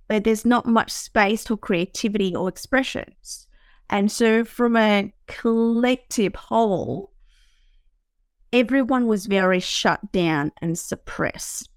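A woman's voice: mid-range voice, clean tone, dry recording, clean studio sound. A woman from Vietnam, hosting a podcast, talking reflectively about her own life in a searching way with fillers and restarts. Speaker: podcast host. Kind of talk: reflective personal talk.

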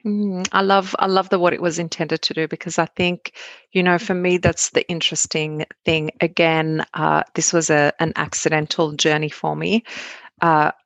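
Low gravelly-voiced woman guest. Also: normal speed, dry recording. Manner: candid, animated storytelling with asides. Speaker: guest, cybersecurity researcher. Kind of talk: conversational interview answers.

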